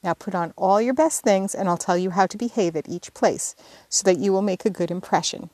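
A woman's voice: medium pitch, a little fast, a little mellow, no echo, close to an everyday voice. A woman reading as a fussy, coaxing younger sister. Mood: instructing. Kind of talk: audiobook character reading.

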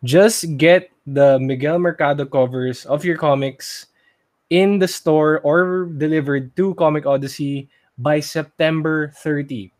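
A man's voice: on the high side, steady tone, unhurried, darkish tone. A man talking informally as a podcast co-host. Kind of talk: casual chat about movies.

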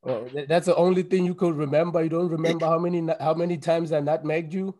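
A man's voice: a little high, steady tone, fast, warm tone. A man hosting a soccer podcast, friendly and teasing as he asks his guest questions. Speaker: podcast host. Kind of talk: podcast interview.

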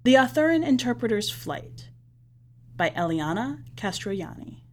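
A woman, the podcast's editor, reading a short story aloud in measured scripted narration. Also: a little high, big pitch swings.

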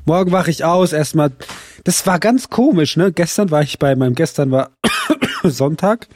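A hoarse man who is coming down with a cold, co-hosting a podcast in casual, teasing chat with halting restarts. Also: on the high side, wildly swooping pitch, a little mellow, a little hiss or hum. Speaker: podcast co-host.

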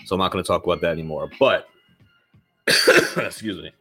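A man's voice: sits low, brisk, pushed and bright, slightly rough, faint music behind. A man hosting his own podcast in loose, rambling casual talk, swearing freely and sounding slightly nasal from a lingering cold. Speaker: podcast host.

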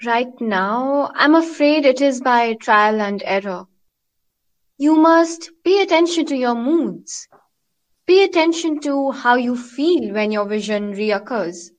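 A woman plays a measured scientist in an audio drama. Her voice is high, and she speaks like someone giving counsel. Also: lively intonation. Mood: advising. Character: advising, measured woman scientist with hypnotic gas.

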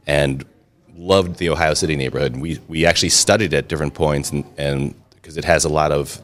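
A man's voice: rumbling low, steady tone, a little fast. A middle-aged man, talking about his past in a chatty, self-deprecating way during an interview. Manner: chatty, self-deprecating humor, frequent restarts. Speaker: interview guest, restaurant and brewery owner. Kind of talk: casual storytelling interview.

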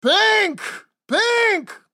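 A woman's voice: lowish voice, normal speed, voice pushed down, close to an everyday voice. A woman voicing a sour, blustering old male knight with rustic, folksy grammar in a storybook tale. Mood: indignant, roaring. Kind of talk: storybook character voice.

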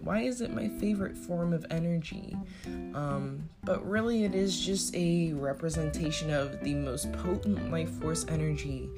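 A woman with a raspy morning voice talking casually as a spiritual tarot reader, rambling and searching for words with many fillers. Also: deep voice.

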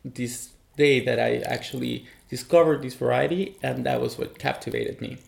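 A man's voice: mid-range voice, fairly even intonation, moderate pace, vocal fry, echoey room. A man from Chile, a tea sommelier, talking as a guest in an interview, thoughtful, with frequent fillers and restarts. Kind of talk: relaxed interview conversation in English.

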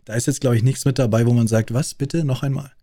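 A man interviewing a fellow streamer in a relaxed, curious, chatty way. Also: medium pitch, fast, dry recording, clean studio sound. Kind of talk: casual conversation.

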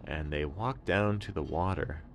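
A man's voice: deep voice, unhurried. A man telling an improvised children's bedtime story, narrating in simple, explanatory phrases.

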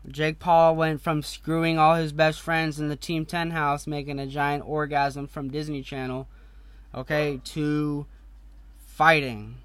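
A man's voice: on the high side, a bit bright, no echo. Blunt, ranting young man on podcast. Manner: blunt, profane, heated ranting with asides. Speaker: podcast host.

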